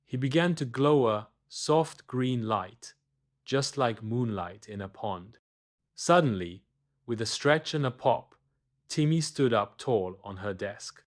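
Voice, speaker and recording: A man's voice: mid-range voice, lively intonation, takes it slowly. A man reading a scripted children's bedtime story as the storyteller.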